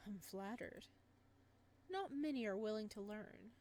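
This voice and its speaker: A woman voicing a soft-spoken, devoted male florist in a visual novel, in a soft, measured and tender manner, with game music behind. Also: mid-range voice, animated, natural voice. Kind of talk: reading a visual novel aloud.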